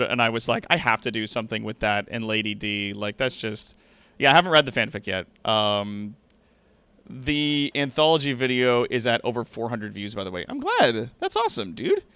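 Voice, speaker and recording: A man's voice: mid-range voice, sing-song, moderate pace, bright, forceful tone, clear, resonant tone, dry recording. A man hosting a livestream, chatting casually and directly to viewers with slangy, profane language. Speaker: livestream host and content creator. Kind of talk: casual stream chat.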